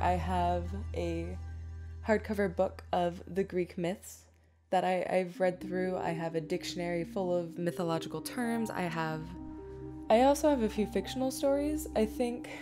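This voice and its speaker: A woman with a notably low voice hosts a casual Q&A. She rambles informally, blunt and a bit geeky, while music plays clearly behind her. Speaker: Q&A host. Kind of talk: casual chat.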